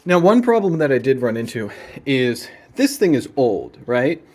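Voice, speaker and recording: A man's voice: mid-range voice, sing-song, normal speed, darkish tone. A man hosting a casual, unscripted gear demonstration at home, laid-back and playful with dry humour. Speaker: video host demonstrating vintage audio gear. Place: indoors in a room at home.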